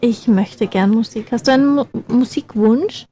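A woman's voice: fairly high pitch, normal speed, warm tone, slightly rough. A young woman, hosting a campus radio show in casual, teasing chat. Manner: chatty, teasing, laughs often.

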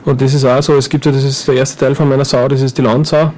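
Give me a joking, rambling Upper Austrian man in a radio studio interview, mid pitch. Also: flat delivery, quick pace, a little mellow, a little hiss or hum. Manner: rambling, joking, many fillers and restarts. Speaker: guest performer.

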